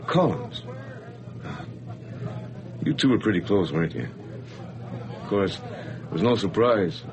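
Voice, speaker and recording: A glad-handing sales-manager type, animated delivery, radio play. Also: male voice, lowish voice, a little slow, a crowd in the background. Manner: hearty, then somber. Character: hearty old acquaintance, sales manager, adult man. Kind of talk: vintage radio drama acting.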